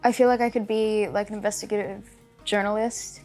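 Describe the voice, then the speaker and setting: Young woman, mid-pitch, loose chatty storyteller, soft music underneath. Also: little pitch movement, a little fast. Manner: chatty, trails off with fillers like "like". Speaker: actress, interview guest. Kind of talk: casual rapid-fire chat.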